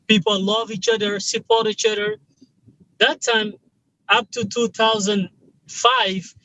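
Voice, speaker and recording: A man from Mali, a guest telling stories with animated enthusiasm over a video call. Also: high-pitched, muffled recording. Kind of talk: conversational interview.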